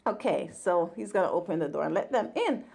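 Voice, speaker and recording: A woman's voice: lowish voice, animated, brisk, creaky, darkish tone, breathy edge. A woman reading a picture book aloud to her young child in a casual, encouraging way, chatting and echoing the child's words. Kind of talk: casual interactive story time read-aloud.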